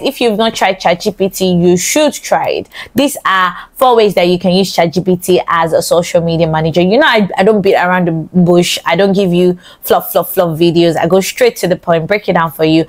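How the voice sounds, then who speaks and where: A young woman giving a casual social media tutorial, chatty and repetitive, stuttering and restarting her sentences, speaking close into a studio mic. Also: fairly low pitch. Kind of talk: casual talking-head tutorial. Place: small indoor studio with colored lights. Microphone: large studio mic close in front.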